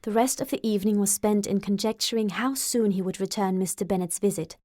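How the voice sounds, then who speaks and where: A woman's voice: mid-range voice, brisk, mellow, no room sound, studio quality. A woman reading scripted literary narration as an audiobook narrator.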